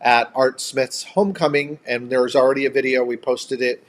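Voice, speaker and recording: A man's voice: mid-range voice, fairly even intonation, normal speed. American guy just chatting on a livestream, upbeat n playful, kinda chatty host type. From upstate New York, United States. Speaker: host of a couple's travel live chat. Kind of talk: casual chat.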